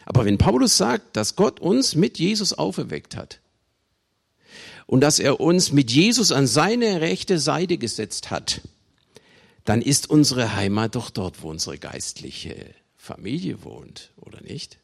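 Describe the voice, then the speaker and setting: A man from Germany preaching a sermon, with emphatic delivery, deliberate pauses and conversational asides to his listeners. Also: mid-range voice, big pitch swings, creaky, slightly rough. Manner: emphatic, with dramatic slow phrasing and pauses. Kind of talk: sermon to a congregation.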